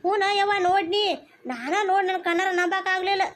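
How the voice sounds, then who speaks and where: A woman's voice: very high voice, fairly even intonation, fairly quick, a bit bright. A woman voicing a scolding, doting village mother in a comedy cartoon, chatty and repetitive, with a North Karnataka Kannada accent. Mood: sympathetic. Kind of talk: rustic comedy cartoon dialogue.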